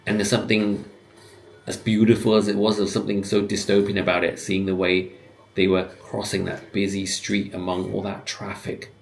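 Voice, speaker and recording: Very deep-voiced, gravelly man musing aloud. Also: slight background noise. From born in Hong Kong, grew up in the West, living in the UK. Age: 50s. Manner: halting, musing, with pauses mid-sentence. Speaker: video host giving commentary. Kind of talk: reflective, unscripted personal commentary.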